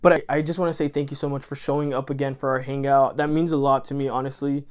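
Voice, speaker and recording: A man's voice: mid-range voice, little pitch movement, fast. A man who grew up speaking Spanish, talking to camera in a rambling, earnest, effusive way and correcting himself as he goes. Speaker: channel host. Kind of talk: casual chat to camera.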